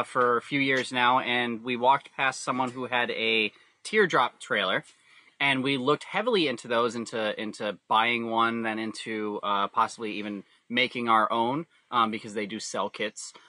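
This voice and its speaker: Very bright-voiced man chatting as podcast guest. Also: mid-range voice, dry recording. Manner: rambling, halting, self-correcting. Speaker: podcast guest, hobby camper builder. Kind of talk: casual chat, personal storytelling.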